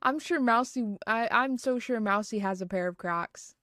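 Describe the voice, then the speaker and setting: A woman's voice: a little high, normal speed, a bit bright, no room sound, studio quality. A woman livestreaming from home, chatting casually and rambling with lots of fillers and restarts. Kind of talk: casual chat.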